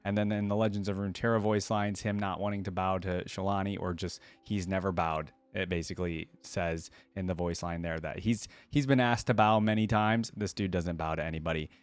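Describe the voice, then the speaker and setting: A man with a fairly low voice gives casual reaction commentary. He talks informally, often repeating words for emphasis. The sound is dry, with little room echo. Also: steady tone, a little fast, hardly any pauses, clear, resonant tone. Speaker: reaction video host.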